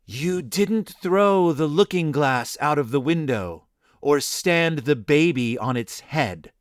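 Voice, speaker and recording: A man voicing a sly, superior village girl who talks in slow, measured riddles in a storybook reading. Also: fairly high pitch, lively intonation, no echo, studio quality. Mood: dismissive. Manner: slow, measured, mock-wise.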